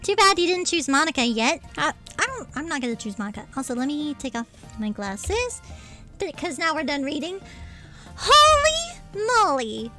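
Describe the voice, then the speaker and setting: A woman streamer chatting casually with her livestream viewers in a bubbly, cutesy, expressive manner. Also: high-pitched, moderate pace, music in the background. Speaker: streamer, VTuber.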